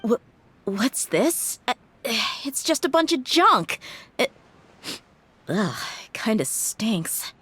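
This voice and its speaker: A woman's voice: a little high, big pitch swings, takes it slowly, pauses often, fairly bright tone, husky, heavily acted. A woman voicing a tough, blunt mercenary in a game cutscene, wry and exasperated in her talk.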